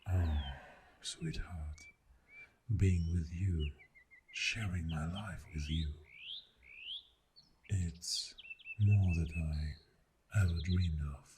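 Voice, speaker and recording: Birdsong and outdoor sound surround a low, soft male voice. A man acts a tender, clingy boyfriend in an ASMR roleplay. He talks extremely slowly and affectionately, often dropping to an intimate whisper, with lingering pauses. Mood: tender, dreamy. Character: clingy, tender, devoted boyfriend on a morning camping trip. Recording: steady outdoor nature sound noise. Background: birdsong and nature sounds.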